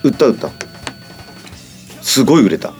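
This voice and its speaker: A man's voice: mid-range voice, sing-song, moderate pace, a little mellow, faint music behind. A middle-aged man chatting casually and telling stories in a blunt, colloquial way on a studio podcast. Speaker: podcast host and musician. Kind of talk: casual chat.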